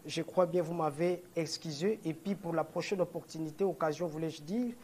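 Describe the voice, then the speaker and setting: A man's voice: fairly high pitch, normal speed, a little mellow, slight background noise. A man with a Congolese French accent making a statement at a press conference as a political movement leader, earnest and often correcting and repeating himself. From DR Congo, Kongo Central.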